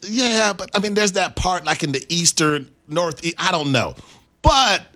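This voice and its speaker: A man's voice: high voice, animated, moderate pace, bright, forceful tone. A man from the Midwest co-hosting a sports radio show, rambling and playful and laughing easily. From Midwest United States. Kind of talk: casual sports radio banter.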